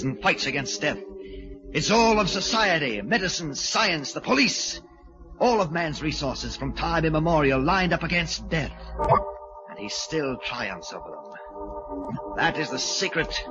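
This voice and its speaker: Grandiose, murderous sculptor, swooping intonation. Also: male voice, a little high. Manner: theatrical, grandiose, self-questioning monologue. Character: obsessed murderous male sculptor who fancies himself Death. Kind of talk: 1940s radio acting. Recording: muffled, noisy old 1940s radio recording.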